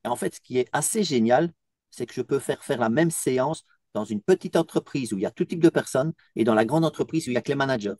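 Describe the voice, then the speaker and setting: A man's voice: mid-range voice, lively intonation, quick pace, darkish tone, no room sound, clean studio sound. A man from Belgium who runs a team coaching business, speaking in an interview testimonial.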